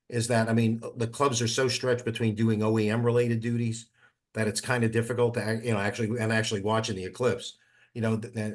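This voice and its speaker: A man chatting casually in an online meeting, talking in a halting way with repeated words. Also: fairly low pitch. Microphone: teleconference call.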